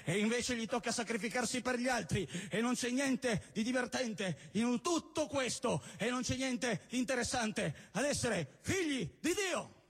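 A man's voice: very high voice, lively intonation, a little slow, a bit bright, breathy edge. A man performing theatrical spoken-word poetry on stage, declamatory, emphatic and irreverent, with insistent repetition. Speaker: performance poet. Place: live on stage at a cultural venue event.

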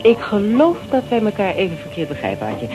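An actress playing a firm, direct young woman in a Dutch radio drama, speaking in a brisk, assertive way. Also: medium pitch, big pitch swings, over music, lightly acted. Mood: businesslike.

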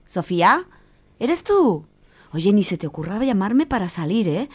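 A woman's voice: mid-range voice, big pitch swings, a little slow, very noisy. A woman playing a bossy, casual young friend in a radio serial, with an accent from Spain. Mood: wary. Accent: Spanish from Spain.

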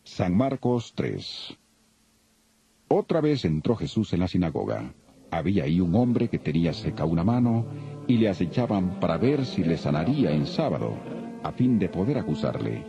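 A man's voice: low voice, sing-song, moderate pace, vocal fry, warm tone. A man narrating the Bible in a solemn, dramatic, scripted storytelling style. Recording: dull lo-fi, music behind.

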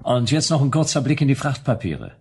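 An actor playing a wry, laconic private detective, narrating in a dry hard-boiled manner for a German radio play. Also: male voice, medium pitch, big pitch swings, fairly quick, darkish tone, faint music behind. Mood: wry, matter-of-fact.

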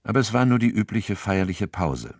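A man's voice: lowish voice, very animated, moderate pace, slightly rough, no room sound, studio quality, full character voice. A man acting as the sober narrator of a crime audio drama, delivering scripted literary narration.

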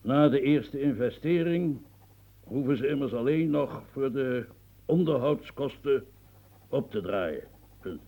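A man's voice: mid-range voice, expressive, measured pace, warm tone. An actor playing a blunt, irritable old marquis in his seventies with a cold, dictating in a coarse, rustic way. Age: 70s. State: snotty cold, coughing. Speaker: elderly marshal dictating. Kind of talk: radio drama acting.

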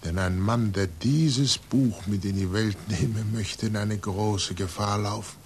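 An actor playing an aging blind scientist in a 1940s German radio drama, weary and reflective, speaking in a measured, solemn way. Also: male voice, fairly even intonation, a little mellow. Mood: guarded. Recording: noisy 1940s radio recording with rumble.

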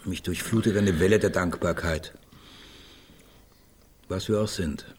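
An actor playing a pompous, sentimental, tipsy artist in a radio play, given to florid phrases. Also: male voice, low voice, a little slow, darkish tone, a little hiss or hum. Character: effusive, sentimental, tipsy artist fond of toasts. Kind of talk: German radio play acting.

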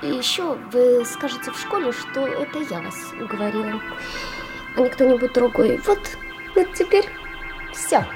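A woman's voice: a little high, animated, normal speed, over music, rough recording. An actress in a radio play voicing an imperious, cheeky schoolgirl who gives emphatic orders to grown-ups. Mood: bossy, commanding. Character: bossy, imperious schoolgirl giving orders to adults. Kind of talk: theatrical radio drama acting.

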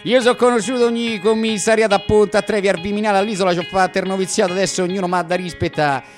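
A man acts out a cocky Roman street tough from a comic radio play. His voice is high for a man, and he declaims his slangy brags with theatrical swagger over faint music. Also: steady tone, fast talker, lightly acted. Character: boastful male Roman street bully, swaggering comic braggart. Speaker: stage actor performing a one-man radio play.

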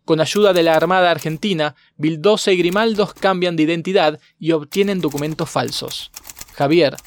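A man narrating a documentary chronology from a script in a measured, formal way. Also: fairly high pitch.

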